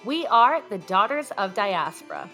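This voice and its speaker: A young adult woman from Mexico co-hosting a podcast, upbeat and conversational, reading facts at a brisk pace. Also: mid-range voice, big pitch swings, bright, forceful tone, soft background music. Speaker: podcast co-host. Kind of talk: casual podcast chat with scripted intro.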